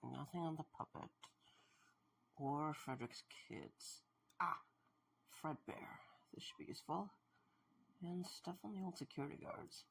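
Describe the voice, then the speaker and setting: A man's voice: fairly high pitch, a little slow, creaky, pauses often, a bit bright, slight background noise. A man playing a weary, wary investigator in an audio drama, talking to himself. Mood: musing.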